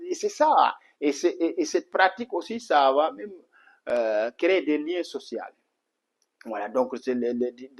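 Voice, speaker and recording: Earnest middle-aged Senegalese man. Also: mid-range voice. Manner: earnest, repetitive, many restarts and "voilà" fillers. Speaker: martial arts instructor. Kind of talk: spoken interview answer.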